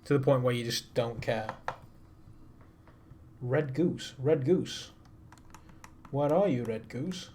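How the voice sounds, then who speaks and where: A man's voice: mid-range voice, slow pace, long pauses, darkish tone, slight background noise. A man giving casual, self-deprecating live commentary to his viewers while playing a space game. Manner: chats to viewers while piloting. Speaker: streamer.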